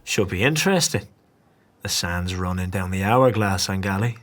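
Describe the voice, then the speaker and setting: An actor playing a gruff, sardonic scavenger gang boss who taunts and threatens in a fantasy audio drama. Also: male voice, sits low, lively intonation, moderate pace, fairly bright tone, slight background noise. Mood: grudging, taunting. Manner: foul-mouthed, taunting, threatening.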